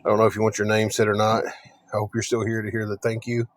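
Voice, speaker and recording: Raspy man chatting on a live stream. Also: lowish voice, completely flat delivery, quick pace, no room sound. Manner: rambling and folksy, talking to viewers. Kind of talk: casual live stream chat. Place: in a parked car, then walking outdoors.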